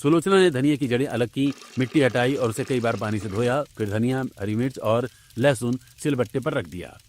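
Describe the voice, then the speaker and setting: A man's voice: medium pitch, a little fast, darkish tone. A man narrating a scripted domestic story for a cartoon.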